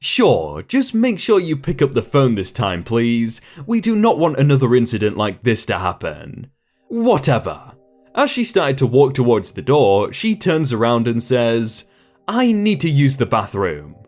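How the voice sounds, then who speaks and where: A man's voice: medium pitch, huge pitch swings, measured pace, darkish tone. A man reading online stories aloud in a scripted narration style, adding short comments between them. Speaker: narrator reading reddit posts.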